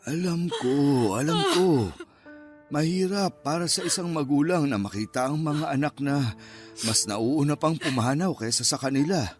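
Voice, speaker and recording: An actor playing a steady elderly grandfather with a measured, consoling way of talking, in a Filipino-accented Tagalog radio drama. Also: fairly high pitch, soft background music, lightly acted. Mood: consoling, pained.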